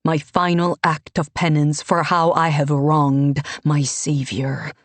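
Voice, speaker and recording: A woman voicing a sanctimonious, scheming male priest with an oily, pompous delivery, spoken slow and low. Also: flat delivery, no echo. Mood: pleading.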